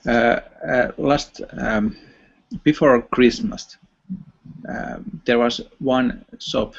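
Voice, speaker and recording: A man's voice: mid-range voice, drawn-out words, gravelly. A man with a Finnish accent in a casual online voice chat, speaking hesitantly with many fillers and restarts. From Finland. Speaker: chat participant. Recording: dull lo-fi online voice chat audio.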